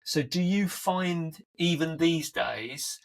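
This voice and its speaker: A man's voice: a little high, slow pace, creaky. A man hosting a podcast, asking long, winding interview questions in a friendly, conversational way. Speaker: podcast host.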